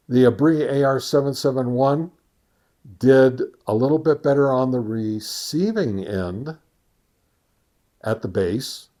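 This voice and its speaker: A man hosting a casual gear review, thinking aloud in a halting way with folksy, conversational asides. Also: medium pitch, darkish tone. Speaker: amateur radio gear reviewer and host. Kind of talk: casual product test summary.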